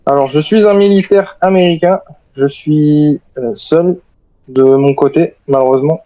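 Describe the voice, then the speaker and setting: A man playing a stranded American soldier, the lone survivor, speaking haltingly and apologetically in an improvised radio roleplay for a game. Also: a little high, no echo, narrow, tinny audio, lightly acted. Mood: hesitant, regretful. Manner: hesitant, halting, repeats words.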